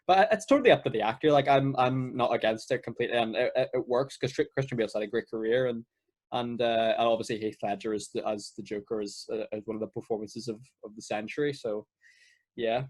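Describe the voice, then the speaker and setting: A man's voice: medium pitch, fairly even intonation, quick pace, a little mellow. A teenage male podcast co-host talking films casually, agreeable and matey, with a readiness to laugh. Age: teens. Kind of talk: casual film discussion.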